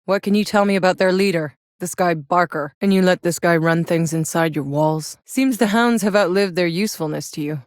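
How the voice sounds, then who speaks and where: A woman's voice: fairly low pitch, a little fast, hardly any pauses, no room sound, clean studio sound, close to an everyday voice. A woman playing a tough, sardonic, battle-hardened soldier in a sci-fi video game, with a wry and blunt way of talking. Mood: grim, determined.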